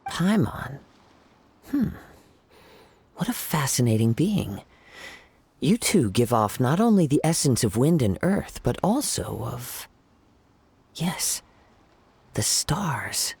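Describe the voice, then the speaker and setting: Kazuha, a soft-spoken poetic wanderer. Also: male voice, medium pitch, very animated, gravelly, husky, no room sound, full character voice. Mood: serene. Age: young adult. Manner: poetic, unhurried, gentle. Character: poetic young male wandering samurai, serene and gentle. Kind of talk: video game voice acting.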